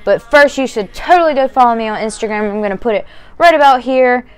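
A young woman making a casual reaction vlog at home, chatty and upbeat, muttering low while she watches, recorded on a lapel mic. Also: lively intonation, quick pace, clean tone. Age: young adult. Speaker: YouTube reaction vlogger. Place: bedroom at home, indoors.

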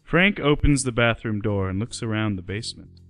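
A man with a fairly low voice narrates a radio play from a script. Music plays behind him. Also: normal speed, fairly bright tone.

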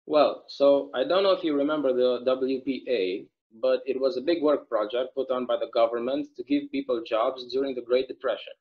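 A folksy small-town bartender played by a young man at a table read, chatty and given to rambling storytelling. His pitch sits mid-range for a man. The sound is a slightly dull, lo-fi video call. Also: fairly even intonation, normal speed, hardly any pauses, lightly acted. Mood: reminiscing. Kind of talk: online table read of a stage play. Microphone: video call microphone.

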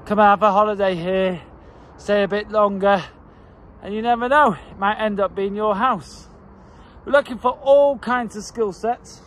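A man in his forties with a fairly high voice, talking to his phone at arm's length as he wanders around a mountain farm. He chats in a loose, rambling way, full of fillers and restarts, with a faint hiss behind him. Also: moderate pace, fairly bright tone. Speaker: farm owner vlogger. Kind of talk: casual walk-and-talk vlog. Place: mountain farm, mostly outdoors, partly in a wooden kitchen. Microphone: phone held at arm's length.

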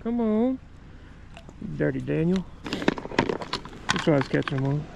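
A man with a high, creaky voice talks in a folksy, exclamatory fishing-vlog style. He is recorded outdoors with clear ambient noise around him. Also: animated, slow. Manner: folksy, exclamatory, mild swearing. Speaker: fishing vlogger. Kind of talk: casual excited chat while fishing. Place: outdoors at a trout stream.

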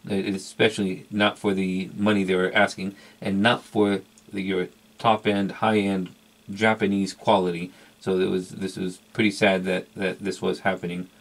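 Low-pitched, gravelly man reviewing gear. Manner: hesitant, rambling, repeats words for emphasis. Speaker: vintage electronics collector and reviewer. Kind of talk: casual unscripted product review.

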